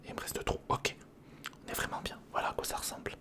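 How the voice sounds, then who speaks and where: Whispering man, ASMR build commentary. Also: fast. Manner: murmurs to himself while thinking through steps; informal, chummy address to the viewer. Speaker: ASMR video host.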